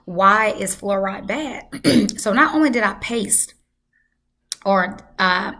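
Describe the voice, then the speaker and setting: A woman hosting a health channel, hoarse from losing her voice, talking casually and emphatically to her viewers. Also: medium pitch, lively intonation, slow pace, sharp tone. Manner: emphatic, repeats for effect. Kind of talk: casual talk to camera.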